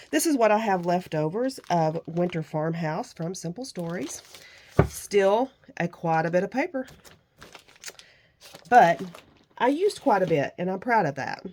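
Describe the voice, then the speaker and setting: A woman vlogger with a somewhat low voice chats about her crafts. A lingering cold leaves her nasal and slightly gruff. She gushes over the pieces she shows. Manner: warm, gushing about handmade crafts. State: nasal and a little rough from a cold. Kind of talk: casual show-and-tell crafting chat.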